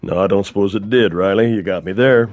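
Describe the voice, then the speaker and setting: An actor playing a fair-minded, middle-aged town marshal in an audio western, with an American accent and a measured, dryly folksy way of talking. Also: male voice, fairly low pitch, a little mellow, slight background noise, close to an everyday voice. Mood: wry, relenting. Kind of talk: audio western drama acting.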